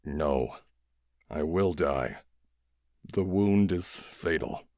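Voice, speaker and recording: A man voicing a gentle, dying alien who speaks slowly and gravely. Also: rumbling low, dry recording, studio quality, pitched below the performer's own voice, full character voice. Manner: slow, solemn, measured.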